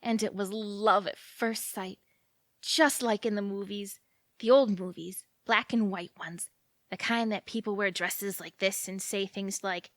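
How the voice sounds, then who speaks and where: A woman's voice: medium pitch, fairly even intonation, unhurried, fairly bright tone, no echo, clean studio sound, close to an everyday voice. An actress playing a romantic, earnest young small-town woman in a naturalistic audio drama, chatty and a little defiant. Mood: dreamy, proud.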